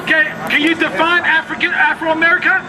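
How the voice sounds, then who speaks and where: A man with a very high voice delivers a loud, confrontational street sermon, calling out directly to people walking past. He is recorded outdoors on a busy city corner, with a crowd murmuring and traffic rumbling behind him. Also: level tone, a little fast. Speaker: street preacher. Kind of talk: street preaching.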